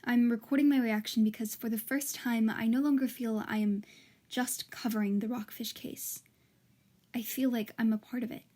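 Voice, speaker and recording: Actress as high-voiced earnest woman reporter. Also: steady tone, moderate pace, a little mellow, natural voice. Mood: grave, confiding. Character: earnest, determined female investigative reporter, easily flustered. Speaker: actor and narrator in an audio drama. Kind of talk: podcast-style narration and naturalistic drama dialogue.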